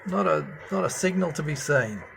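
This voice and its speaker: A man giving an unscripted hands-on demonstration as a hobbyist, speaking haltingly with many pauses. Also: on the high side, lively intonation, creaky, fairly bright tone, a little hiss or hum. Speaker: amateur CB radio hobbyist presenter. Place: indoor room at home with radio gear.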